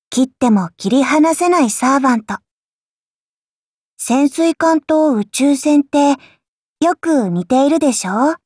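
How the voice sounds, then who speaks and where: Dreamy curious little space boy from an anime game, high soft voice, takes his time. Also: no echo, studio quality. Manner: soft, unhurried, childlike wonder. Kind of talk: Japanese anime mobile game character voice lines.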